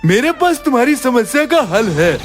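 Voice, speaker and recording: A man's voice: very high-pitched, big pitch swings, normal speed, music in the background. A man voicing an eager village loafer, a sidekick in a cartoon. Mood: eager advising. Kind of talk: cartoon voice acting.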